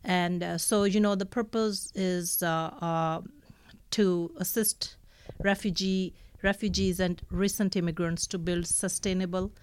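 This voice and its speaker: Clear, low-voiced Pakistani woman. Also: fairly even intonation, a little slow, no room sound. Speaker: nonprofit staff member being interviewed. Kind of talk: interview answers, explanatory.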